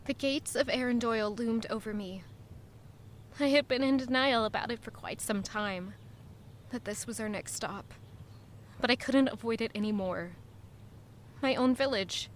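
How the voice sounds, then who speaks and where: A woman playing a quietly resolute young woman in an audio drama, narrating in a measured, reflective way. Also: a little high, slight background noise, natural voice. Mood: brooding, wistful. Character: guilt-ridden, quietly resolute young woman returning to her hometown. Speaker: narrator and lead character. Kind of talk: first-person scripted audio drama narration.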